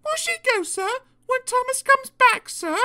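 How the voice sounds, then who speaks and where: A woman's voice: very high-pitched, sing-song, normal speed, pushed and bright, voice pushed up, heavily acted. A woman voicing an anxious, polite little male tank engine in a timid, eager-to-please storybook manner.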